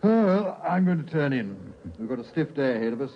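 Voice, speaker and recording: Bloke acting a curious mountain climber in an old radio play, clipped and animated, muffled hissy recording. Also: male voice, a little high, fairly quick, close to an everyday voice. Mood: genial, practical. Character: male mountaineer, curious, practical, genial. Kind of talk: 1950s radio drama acting. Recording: dull, noisy vintage radio recording.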